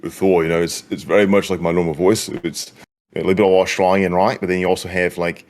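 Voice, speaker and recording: A man's voice: low-pitched, flat delivery, fast, darkish tone. A young man talking casually as a guest, easygoing and chatty. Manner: easygoing, chatty with light laughs. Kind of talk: casual chat.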